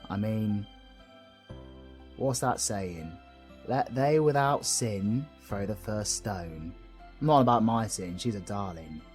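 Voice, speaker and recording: A man's voice: fairly low pitch, slow pace, a little mellow, faint music behind. A British man playing a cheeky, kind-hearted supervillain in an audio roleplay, chummy, slangy and reassuring, with a bit of mild swearing. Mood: gentle, reassuring. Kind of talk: scripted audio roleplay addressed to the listener.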